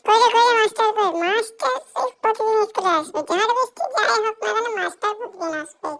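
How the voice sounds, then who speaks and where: A performer voicing cheeky, boastful cartoon schoolchildren who whine and stall with exaggerated, drawn-out words. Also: very high-pitched, fairly bright tone. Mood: grumbling, mocking. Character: cheeky schoolchildren, lazy, boastful, whining to their teacher. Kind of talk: comedy cartoon dub.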